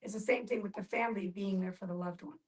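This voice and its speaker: A woman heard at some distance from the microphone, with a medium-pitched voice. She explains things in plain, conversational terms within a group discussion. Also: fast. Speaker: discussion participant. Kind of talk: casual explanatory group talk.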